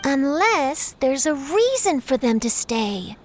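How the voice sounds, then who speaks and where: High, expressive young female adventurer. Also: slow, heavily acted. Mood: pondering. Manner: pondering, questioning. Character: young female traveler, thoughtful, inquisitive investigator. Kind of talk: video game voice acting.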